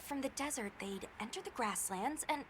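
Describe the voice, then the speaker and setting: A woman's voice: high-pitched, animated, normal speed, very noisy. An actress playing an earnest, concerned young woman who guides a hunter in a video game. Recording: game music behind.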